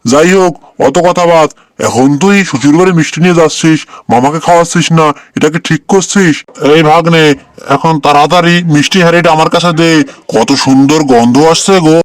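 A man's voice: on the high side, brisk, clear, resonant tone, no echo, natural voice. A man voicing a greedy, bullying tiger uncle in a cartoon, wheedling and threatening in colloquial speech. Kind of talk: cartoon character acting.

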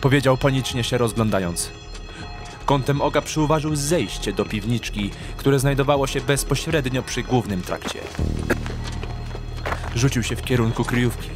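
Slightly higher-pitched male dramatic narrator. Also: moderate pace. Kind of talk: dramatic scripted audiobook narration. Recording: clear music behind the narration.

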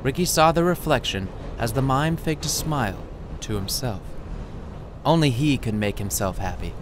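A man narrates a short story in a slightly high voice with animated, swooping intonation. His delivery is dramatic and playful, and music plays clearly behind him. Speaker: author reading his own short story. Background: music under the voice.

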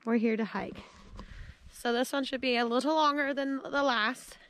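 A woman's voice: high-pitched, moderate pace, fairly bright tone. A woman in her twenties chatting casually to a handheld camera as a travel vlogger. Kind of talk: casual vlog chat to camera. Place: outdoors by roads, woods and parking lots. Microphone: handheld action camera at arm's length. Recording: outdoor noise and clicks on handheld camera.

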